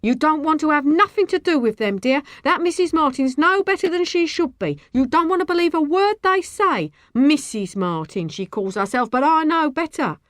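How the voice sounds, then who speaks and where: A woman's voice: high voice, lively intonation, fairly quick, hardly any pauses, pushed and bright, dry recording, clean studio sound, voice pushed up. A woman voicing a fat, gossiping market woman in an audiobook, defensive, indignant and spiteful, with squealing, ungrammatical working-class speech. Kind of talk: audiobook character acting.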